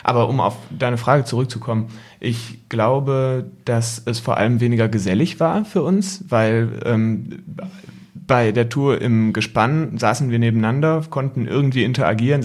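A man's voice: mid-range voice, darkish tone. A young adult man, a podcast guest, recalling experiences casually in thoughtful, hesitant phrases. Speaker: podcast guest, son of travelling family. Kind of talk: casual reflective recollection.

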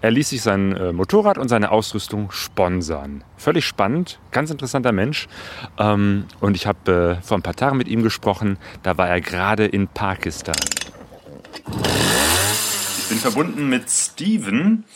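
A man's voice: lowish voice, very animated, measured pace. A man hosting an interview, asking questions in a hesitant way with many fillers. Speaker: host. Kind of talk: conversational interview.

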